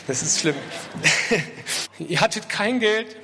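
A man gives an emotional, tearful acceptance speech with a high-pitched voice. Long reverb of a hall surrounds him, with faint noise. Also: lively intonation, very slow, sharp tone. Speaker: award winner giving a speech.

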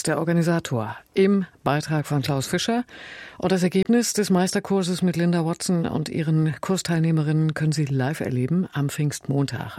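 A man presenting a radio program in a smooth, announcing way, introducing events and music. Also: a little high, a little mellow, no room sound.

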